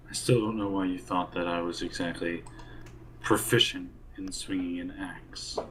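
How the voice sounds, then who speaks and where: A man's voice: deep voice, fairly even intonation, normal speed, a bit bright, slight background noise, rough recording. A man improvising in character as a survivor group's surgeon in casual role-play banter. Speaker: player acting as the group's surgeon.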